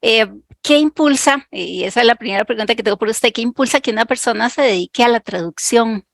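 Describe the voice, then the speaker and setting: A woman's voice: fairly high pitch, fairly quick, vocal fry, dry recording, studio quality. A woman hosting a book club, asking interview questions in a hesitant way. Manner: hesitant, with many fillers.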